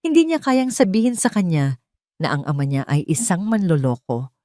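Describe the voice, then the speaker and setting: A woman's voice: fairly low pitch, big pitch swings, normal speed, mellow, no room sound, clean studio sound. A woman reading a scripted, dramatic story as its narrator.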